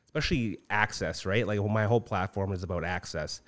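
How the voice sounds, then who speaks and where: A man's voice: lowish voice, brisk, very noisy. A man in his thirties from northern Saskatchewan, a council candidate talking casually and earnestly in a small studio, often adding "right?". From northern Saskatchewan, Canada. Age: 30s. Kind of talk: casual interview chat. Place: small studio room with armchairs. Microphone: boom-mounted studio mic.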